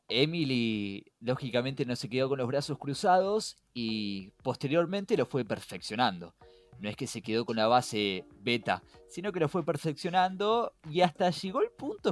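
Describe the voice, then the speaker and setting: A man's voice: mid-range voice, big pitch swings, unhurried, faint music behind. A man with a Rioplatense Argentine accent co-hosting a conversational explainer podcast, often posing questions and then answering them. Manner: rhetorical questions to co-host and listener. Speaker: podcast co-host.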